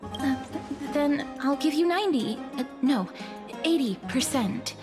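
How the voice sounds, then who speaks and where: An actress playing a gentle, reserved, earnest maiden in a video game, polite and a little hesitant. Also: female voice, high-pitched, expressive, unhurried. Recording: background music.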